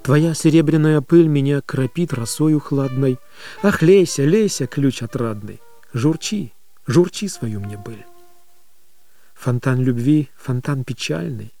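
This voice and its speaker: A dark-voiced lovesick poet. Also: male voice, mid-range voice, unhurried, creaky, faint music behind. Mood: yearning, lyrical. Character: lovesick Russian poet reciting his verse. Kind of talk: dramatic poetry recitation in Russian.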